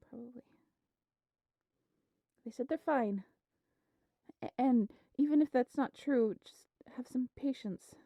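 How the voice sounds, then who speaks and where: Woman acting high-voiced, playful, affectionate young girlfriend. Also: breathy edge, no echo, phone-quality sound. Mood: anxious, hushed. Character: playful, affectionate young woman, anxious overthinker, teasing her partner. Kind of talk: intimate roleplay audio drama.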